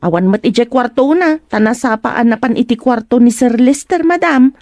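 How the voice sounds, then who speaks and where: A woman's voice: on the high side, animated, normal speed, a little mellow, no room sound. A woman playing a deferential young housemaid in an Ilocano radio drama. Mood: deferential, matter-of-fact.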